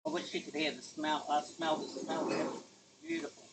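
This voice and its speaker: A man's voice: a little high, very animated, normal speed, noisy background. A man chatting casually to his viewers while he cooks on a live stream. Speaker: cooking livestreamer. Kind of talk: casual live cooking chat.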